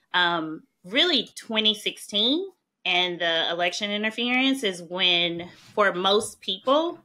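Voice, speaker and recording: A woman with a strong Southern accent speaks in a lowish voice with a forceful, bright edge. She is a chatty podcast guest who tells her career story in a conversational way, dotted with "um" and "right?". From Mississippi, US. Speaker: podcast guest, policy expert. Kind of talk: conversational interview.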